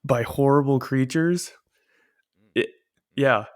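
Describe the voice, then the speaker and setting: Guy with a big booming voice, casual podcast banter on a home mic, laughs a lot, kinda rambly. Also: takes it slowly, no room sound, clean studio sound. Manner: laughs often, loose and rambling. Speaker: podcast co-host. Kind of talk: casual chat.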